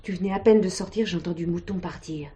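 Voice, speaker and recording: An actress plays a detective's wife in a television drama, with a slightly low female voice. She is concerned and inquisitive, putting plain, intimate questions. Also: quick pace, warm tone, natural voice. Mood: concerned, probing. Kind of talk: French television drama acting.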